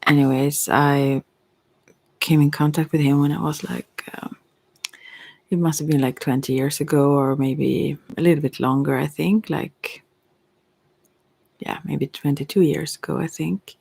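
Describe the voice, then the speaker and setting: Low, creaky Swedish-accented woman, close and dry. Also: steady tone, unhurried, pauses often, a little mellow, dry recording. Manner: rambling, trailing off mid-thought. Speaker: ASMR creator and tarot reader. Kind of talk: unscripted reflective ASMR monologue.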